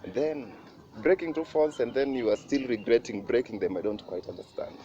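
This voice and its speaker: A man's voice: mid-range voice, fairly quick, warm tone. A man with a Kenyan accent hosting a casual interview, chatty and switching between English and Swahili slang. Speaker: show host. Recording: muffled with clear background noise.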